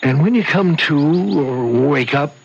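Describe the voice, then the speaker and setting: An actor playing a raving, insistent old man with grand schemes in a 1970s radio drama, his voice creaky. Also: on the high side. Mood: dreamy, earnest. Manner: halting, insistent explaining. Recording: muffled vintage radio broadcast, faint hiss.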